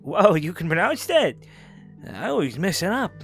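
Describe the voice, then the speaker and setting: A man's voice: sits high, sing-song, normal speed, vocal fry, a bit bright, heavily acted. A man playing a scrappy, sly convict in a fantasy audio drama, with colloquial, flippant speech. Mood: cheeky, conspiratorial. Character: scrappy, cheeky male convict, sly schemer. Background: music.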